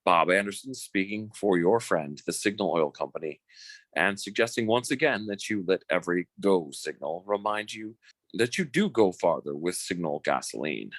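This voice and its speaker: A man playing a suave, formal 1940s radio announcer with measured, emphatic delivery. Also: low-pitched, expressive, a bit bright, no room sound. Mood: polished, formal.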